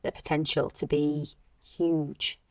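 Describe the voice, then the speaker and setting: Young woman, lowish pitch, dry room-free sound, easygoing, conversational podcast interviewer. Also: level tone, slow pace, darkish tone, dry recording. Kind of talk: casual podcast chat.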